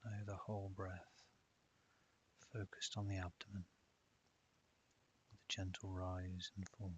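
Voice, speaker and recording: Man, meditation guide, very even delivery, calm, unhurried pauses, muffled lo-fi audio. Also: low-pitched. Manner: calm, measured, with long pauses. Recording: dull lo-fi audio with hiss.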